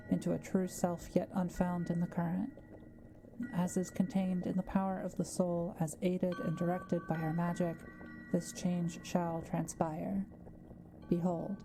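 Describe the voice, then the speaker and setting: A woman playing a kind forest witch in a roleplay audio drama, speaking softly, carefully and patiently to the listener. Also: lowish voice, lightly acted. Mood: solemn incantation. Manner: soft-spoken, careful, patient explaining. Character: kind, quiet-living forest witch, gently reassuring.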